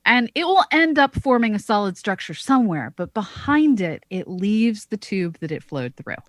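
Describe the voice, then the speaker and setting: A woman co-hosting a science podcast, explaining in a measured, careful way. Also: medium pitch, big pitch swings, dry recording. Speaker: podcast co-host, astronomer.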